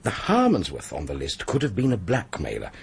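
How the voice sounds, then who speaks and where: A very rough, husky British man talks low and lively as a gruff police pathologist. He is blunt, dry and dismissive, a born sceptic in a radio play. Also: brisk, exaggerated voice. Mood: matter-of-fact. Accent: British English. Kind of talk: radio drama acting.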